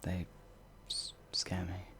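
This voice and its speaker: A man voicing a shy, gentle werewolf in an ASMR audio roleplay, hesitant and halting, with a breathy, gravelly voice that often drops toward a whisper. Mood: timid, uneasy. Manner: hesitant, timid, halting, with sighs and trailing phrases. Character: shy, gentle, bumbling pacifist male werewolf, older than he looks.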